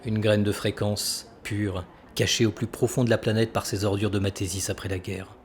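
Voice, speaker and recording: An actor playing a calculating senator who persuades with measured authority in an audio drama. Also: male voice, lowish voice, little pitch movement, a little hiss or hum, close to an everyday voice. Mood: conspiratorial. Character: calculating, persuasive male senator and military schemer.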